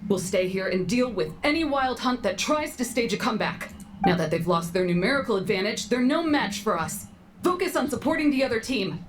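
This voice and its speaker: A woman voicing a dutiful female soldier who reports crisply. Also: on the high side, big pitch swings, fairly quick, noisy background.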